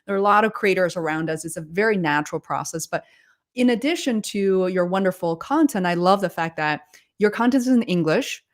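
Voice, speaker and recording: A woman's voice: lowish voice, lively intonation, fairly quick. A woman hosting a livestream interview over a video call, talking in an enthusiastic, effusive conversational way. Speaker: livestream host.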